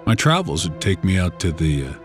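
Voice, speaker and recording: A man with a very low, deep voice narrates a creepy first-person tale. His delivery is folksy and colloquial, taking its time as if chatting. Music plays clearly behind him. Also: very animated, brisk. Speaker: storyteller. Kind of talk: first-person horror storytelling in a casual, conversational way. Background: background music.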